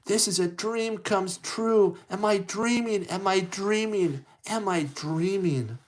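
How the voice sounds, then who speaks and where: A storybook Cinderella, played by a man, pitched up from his normal talk. She is a young, earnest and wistful heroine, polite and pleading, who repeats herself in wide-eyed wonder. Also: takes it slowly.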